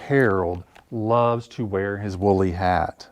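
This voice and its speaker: A man reading picture books aloud for children in an expressive, deliberate storytelling style. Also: on the low side, a little hiss or hum. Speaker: library story time reader. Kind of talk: animated picture-book narration for children.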